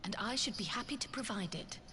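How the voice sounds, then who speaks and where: A woman's voice: mid-range voice, animated, quick pace, lightly acted. An actress voicing a kindly, self-assured woman mentor in a video game cutscene, with genteel, old-fashioned formal wording. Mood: wry, fond. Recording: game audio with music behind.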